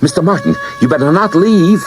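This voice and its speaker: An earnest twelve-year-old boy, acted by a grown man, his voice lifted well up. He sounds frightened and intent in this audiobook drama, pleading over loud music. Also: high voice, animated, fairly quick, pitched above the performer's own voice. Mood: urgent, fearful.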